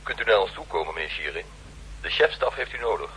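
An actor playing a formal, courteous military liaison officer in a 1960s radio drama. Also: male voice, sits low, lively intonation, quick pace, bright, forceful tone, breathy edge, exaggerated voice, low-quality recording. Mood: formal, insistent.

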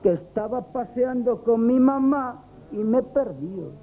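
An actor playing a cheeky, chatty grocery assistant in a radio comedy, telling things wryly in a Spanish accent. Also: male voice, very high voice, lively intonation, measured pace, warm tone, full character voice. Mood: wry, gossipy. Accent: Spain. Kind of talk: comic radio theatre acting. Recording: muffled noisy old radio recording.

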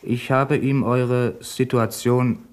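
An actor playing a deferential, chatty police assistant in a 1970s radio crime drama, matter-of-fact and good-humoured. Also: male voice, mid-range voice, flat delivery, slow pace, a little mellow, smooth, natural voice. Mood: reading aloud.

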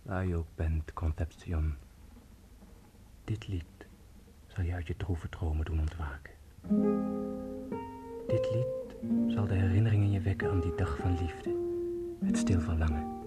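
Slow, dreamy aging guitarist over guitar music. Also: male voice, low-pitched, wildly swooping pitch, exaggerated voice. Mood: tender, wistful. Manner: slow, poetic, murmuring. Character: aging, dreamy, half-mad guitarist storyteller, tender and sly. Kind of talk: radio drama acting. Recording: loud guitar music behind.

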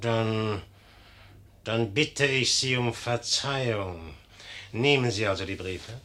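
An actor playing a commanding, shrewd and sardonic young general in a 1950s German radio play. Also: male voice, lowish voice, slow, bright, forceful tone, a little hiss or hum. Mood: cool, restrained.

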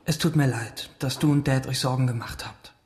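A young actor playing a flippant, stubborn teenage brother in trouble in a radio drama. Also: male voice, mid-range voice, moderate pace, a little mellow, natural voice.

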